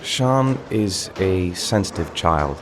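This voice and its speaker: A man's voice: low-pitched, animated, takes it slowly, background noise. An actor playing a distraught father of a missing boy, hesitant and stammering, in a dramatic video game. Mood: anxious, subdued. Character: distraught separated father of a missing son, halting.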